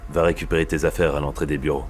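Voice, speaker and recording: Guy playing a super low police boss, grave n secretive, hushed halting talk, audio thriller, noisy rumble behind. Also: level tone. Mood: worried, insistent. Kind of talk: French audio thriller drama acting. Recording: clear background noise, vehicle-like rumble.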